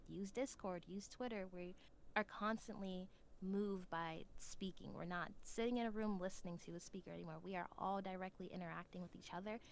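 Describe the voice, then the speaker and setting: Woman podcast guest talking casually, earnest and thoughtful, bit of noise behind her. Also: medium pitch. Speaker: podcast guest, new voice actor. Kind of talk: casual interview chat.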